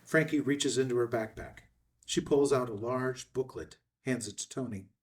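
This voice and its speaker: A man's voice: medium pitch, normal speed, far from the mic. A man narrating scene headings and stage directions from a screenplay in a scripted read.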